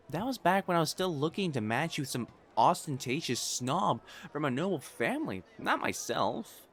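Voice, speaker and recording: A man's voice: a little high, a bit bright, a little hiss or hum. A man playing a prim young matchmaker fiancé in a romantic audio roleplay, formal and earnest in speech, easily flustered. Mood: flustered, indignant. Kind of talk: intimate scripted romance roleplay acting.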